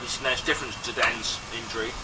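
A man telling a casual anecdote to friends, animated and quick to act out what people said. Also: medium pitch. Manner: animated storyteller, laughs often, acts out quotes. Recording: very noisy, distant informal group recording.

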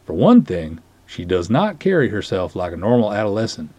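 A man's voice: on the low side, very animated, normal speed, mellow, slightly rough, no room sound. A man giving a dramatic story reading in a formal, wordy, theatrical way. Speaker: podcast host reading a short story aloud. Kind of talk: dramatic reading of narrated dialogue.

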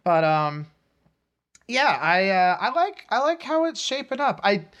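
A man talks casually as a podcast co-host about pop culture. His delivery wanders and halts, circling back as he explains things. The pitch sits in a middle range. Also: big pitch swings, measured pace, a bit bright, dull audio. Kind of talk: casual comics and film chat.

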